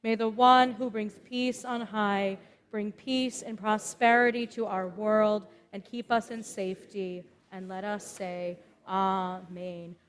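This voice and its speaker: A woman cantor leading congregational prayer in a synagogue, with a measured, deliberate way of reading. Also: medium pitch, level tone. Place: synagogue sanctuary. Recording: long echo of a large hall.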